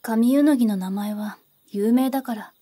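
A woman's voice: a little high, moderate pace, mellow, lightly acted. A woman voicing a polite, careful, reserved young boy in an anime-style voice drama. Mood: quiet, cautious.

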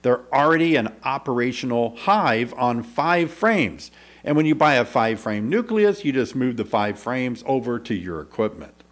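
A man's voice: mid-range voice, lively intonation, normal speed. A man in his fifties, a beekeeping instructor giving an upbeat, persuasive video lesson to camera in a small indoor studio, speaking into a desk mic. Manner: upbeat, persuasive, clear teaching delivery. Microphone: desk microphone in front.

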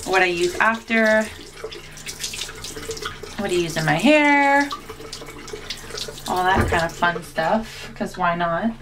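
A woman's voice: fairly low pitch, lively intonation, slow pace, pauses often, a bit bright, a little hiss or hum. A young woman, a new mom, chatting casually to camera at home with lots of fillers. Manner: chatty and self-deprecating, many fillers. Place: home, indoors. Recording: voice a bit far from the mic.

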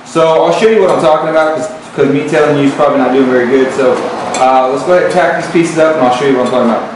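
A man's voice: fairly high pitch, fast talker, background noise. A male welding instructor giving a hands-on lesson, in a plain way with lots of fillers and repeated words. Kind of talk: hands-on tutorial. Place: metal workshop. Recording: very echoey workshop, distant mic.

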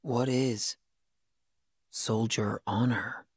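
An actor playing a young, earnest but brash and disillusioned elite soldier in a video game. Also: male voice, mid-range voice, steady tone, very slow, breathy edge, no echo. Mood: weary, disillusioned. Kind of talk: video game cutscene acting.

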